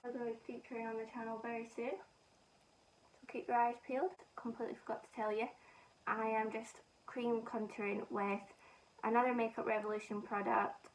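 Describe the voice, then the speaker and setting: A young woman from Scotland with a Scottish accent softened by a northern English lilt, chatting casually and self-mockingly while doing her makeup. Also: a little high, level tone, normal speed, far from the mic, a little hiss or hum, poor audio. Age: young adult. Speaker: beauty vlogger.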